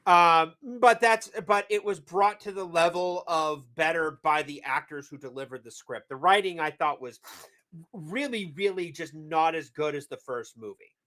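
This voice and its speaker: A man from New England with a high, bright voice, co-hosting a relaxed movie review chat. He rambles, trips over his words and restarts his sentences often. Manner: rambling, halting with fillers and restarts. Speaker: podcast co-host.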